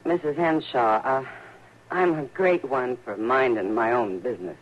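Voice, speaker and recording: A woman's voice: a bass voice, unhurried. An actress playing a frank, concerned frontier woman, pleading earnestly in an old-time radio western. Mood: polite, uneasy. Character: Kitty Russell, frank, concerned, plain-spoken frontier woman. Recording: dull, noisy old radio recording.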